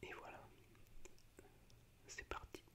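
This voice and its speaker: A man speaking in a breathy, unvoiced whisper as an ASMR host, unhurried and a little hesitant. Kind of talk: whispered ASMR, reciting film monologues.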